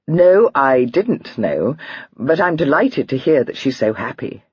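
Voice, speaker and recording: A woman reading aloud, voicing a fond, teasing young gentleman in a breathy voice near her own. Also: very deep voice, big pitch swings, unhurried, no room sound, highs cut off, heavily acted.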